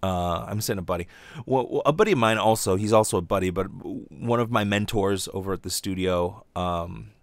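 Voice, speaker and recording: A man's voice: deep voice, fairly quick, vocal fry, no echo. A man hosting a casual monologue podcast, stammering through repeated false starts and swearing as he rambles. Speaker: podcast host and aspiring voice actor.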